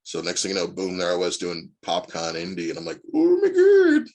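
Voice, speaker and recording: A man's voice: deep voice, big pitch swings, fast, vocal fry. A man in his forties from Indiana, a guest actor chatting casually and telling long rambling stories full of fillers. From Indiana, USA. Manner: rambling storyteller with many fillers. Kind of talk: casual interview chat.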